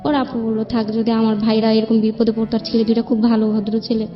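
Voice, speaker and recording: A woman's voice: on the high side, monotone, fast talker. A woman with a Bangladeshi accent tells her personal story in an interview, plainly and at length, often quoting what others said. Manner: rambling, plain-spoken narration with quoted dialogue. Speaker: guest telling her life story. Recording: band-limited dull sound with background music.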